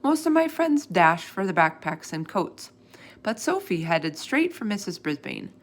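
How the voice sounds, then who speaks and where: A woman reading a children's storybook aloud in an expressive, animated way, acting out the dialogue. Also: mid-range voice, a little slow. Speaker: storybook reader.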